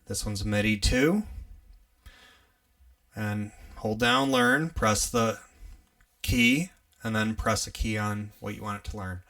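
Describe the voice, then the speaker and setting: A young man with an upstate New York accent and a low, gravelly voice with a bright edge. He talks through a casual live music-gear tutorial in a relaxed, chatty way, laughing easily, and sounds a little distant from the mic. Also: slow pace, pauses often. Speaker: livestream host teaching music gear.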